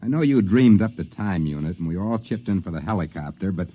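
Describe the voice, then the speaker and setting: Practical male adventurer, blunt and lively; dull vintage radio sound, faint hiss. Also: low-pitched, brisk. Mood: wary. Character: practical trigger-ready male frontier adventurer. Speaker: Coop, time traveler stranded in prehistory. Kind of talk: 1950s radio drama acting.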